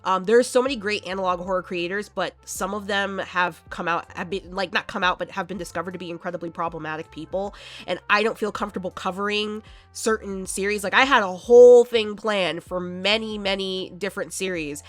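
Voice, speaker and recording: A young woman hosting a casual horror movie vlog, chatty and quick to laugh, sounding a bit congested from allergies. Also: medium pitch, fairly even intonation, soft background music. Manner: chatty, laughs often, many fillers.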